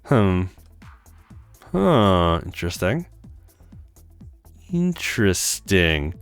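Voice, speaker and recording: Animated man hosting a let's play. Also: sits low, very slow, smooth, soft background music. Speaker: gaming channel host.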